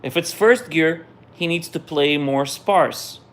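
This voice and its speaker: Relaxed man answering viewer questions. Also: a little high, slow pace. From Israel. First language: Russian. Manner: relaxed and conversational, trails off and restarts. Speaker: musician hosting a viewer Q&A. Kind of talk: casual live Q&A, reading out questions and answering.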